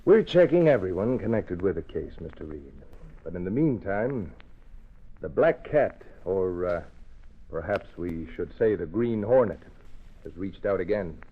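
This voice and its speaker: An actor playing a serious, formal police inspector in an old-time radio drama, deliberate and official. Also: male voice, deep voice, expressive, slightly rough, full character voice. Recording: tinny band-limited old radio recording.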